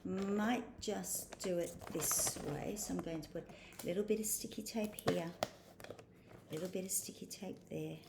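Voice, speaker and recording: A woman's voice: fairly low pitch, normal speed, vocal fry, echoey room. A woman casually talking through each small step of a craft, recorded on a computer microphone with faint noise. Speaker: craft activity presenter.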